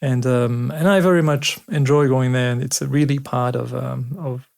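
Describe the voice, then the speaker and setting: A man in his forties from Switzerland, a sports doctor chatting as a guest at home in a relaxed, thoughtful way with many pauses and fillers. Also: mid-range voice, vocal fry. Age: 40s. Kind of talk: casual conversation. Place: home, indoors.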